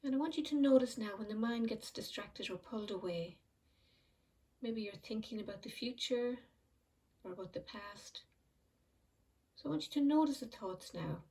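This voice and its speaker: A woman leading a guided mindfulness meditation, speaking slowly and softly with long pauses. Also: on the high side, expressive, distant mic, low-quality recording. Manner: slow, soft and soothing, with frequent pauses. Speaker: meditation teacher.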